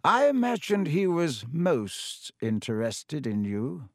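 Wise old wizard headmaster, soft and slow, raised voice, dry close sound, man doing it. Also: a little high, big pitch swings, no echo, pitched above the performer's own voice, heavily acted. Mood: calm, wise. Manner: slow, gentle, measured speech. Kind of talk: audiobook character voice.

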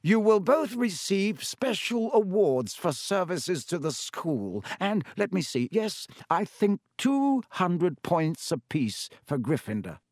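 A man voicing a kindly, wise old male wizard headmaster, gentle and measured. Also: high voice, big pitch swings, dry recording, clean studio sound, pitched above the performer's own voice, full character voice.

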